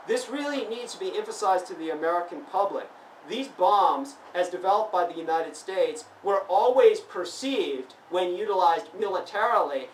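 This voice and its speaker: Lecturing man, emphatic, roomy distant audio, faint noise. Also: sits high. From Taiwan. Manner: lecturing, grows heated and emphatic. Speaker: interviewee. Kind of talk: monologue answering online comments. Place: convention venue.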